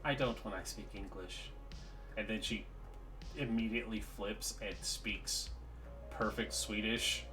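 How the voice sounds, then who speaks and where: Low-voiced man running a tabletop game. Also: a little slow, sharp tone, soft background music. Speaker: game master. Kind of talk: narrating a tabletop roleplaying game.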